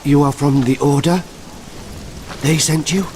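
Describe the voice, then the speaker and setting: A man's voice: a little high, fairly even intonation, a little slow, darkish tone, a little hiss or hum, close to an everyday voice. An actor playing a wary, fearful male villager in a video game cutscene, with rain and thunder behind him.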